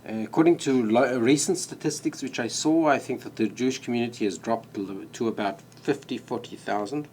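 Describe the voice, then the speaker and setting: A man's voice: medium pitch, a little fast, darkish tone, a little hiss or hum. Courteous male diplomat from South Africa. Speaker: diplomat, guest. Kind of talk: interview answers.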